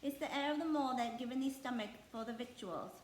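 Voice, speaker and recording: Storybook housemaid played by a woman: brisk, motherly, dialect, emphatic, in a roomy space. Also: a little high, slight background noise, voice pushed up, natural voice. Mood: brisk, encouraging. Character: cheerful Yorkshire housemaid, young, brisk and motherly.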